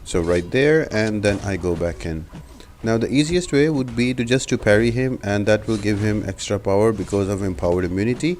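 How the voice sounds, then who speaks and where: A man's voice: on the low side, fairly quick, a little mellow, slight background noise. A man giving a step-by-step gameplay tutorial as a voice-over, explaining things as a guide host. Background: background music.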